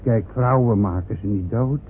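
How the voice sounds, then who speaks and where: An actor playing a timid, bumbling old villager who stammers and hesitates, in a radio drama. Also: male voice, lowish voice, moderate pace, close to an everyday voice. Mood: awkward, evasive. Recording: tinny, noisy old radio recording.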